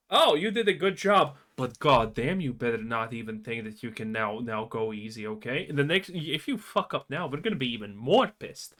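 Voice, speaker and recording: A man's voice: on the high side, fairly bright tone. A man reacting to a video on his stream, casual and blunt, swearing freely in heated opinions.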